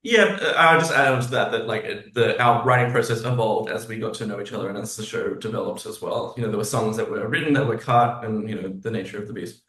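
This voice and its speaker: A man's voice: fairly low pitch, fast talker, hardly any pauses, bright, forceful tone. A man from Australia speaking as an interview guest, casual and reflective, hesitantly searching for his words. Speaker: composer and lyricist, interview guest. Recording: roomy echo on the voice.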